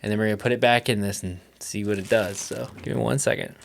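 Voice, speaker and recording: A man's voice: fairly low pitch, fairly even intonation, fast, creaky, noisy background. A young man in a casual vlog, thinking aloud with plenty of fillers as he tests music mixes, keen and talkative. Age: young adult. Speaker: music producer testing cassette tape.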